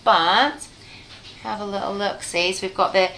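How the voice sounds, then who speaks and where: A woman reading a children's bedtime story aloud in an expressive, playful way, speaking directly to her listener. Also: medium pitch, measured pace, slight background noise. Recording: roomy, echoey room sound.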